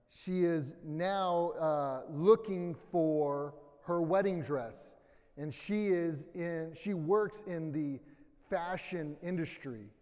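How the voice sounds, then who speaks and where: Man, American accent, higher-than-average voice, conversational preacher, informal humor, long boomy reverb. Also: darkish tone. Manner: informal, self-deprecating humor. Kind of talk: conversational sermon with personal anecdotes. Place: large indoor church room, amplified.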